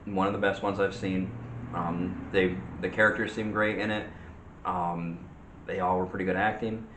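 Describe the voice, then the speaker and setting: Low-voiced man rambling in a roomy space. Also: little pitch movement. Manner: halting, rambling, repeats himself. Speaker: co-host of a movie discussion show. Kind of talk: casual opinion chat. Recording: roomy echo with faint background noise.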